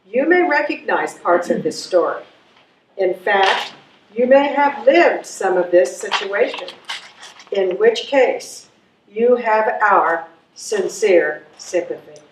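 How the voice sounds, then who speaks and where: Slow-speaking woman announcer, echoey hall. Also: mid-range voice. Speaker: announcer introducing a stage reading. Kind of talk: scripted live introduction. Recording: noisy, echoey hall, distant microphone.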